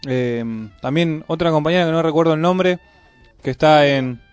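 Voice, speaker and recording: A man's voice: on the high side, normal speed, clear, resonant tone, dry recording. A man with a Buenos Aires accent co-hosting casual community radio, chatting and reading out messages in a studio. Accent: Buenos Aires Argentine. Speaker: radio co-host. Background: music.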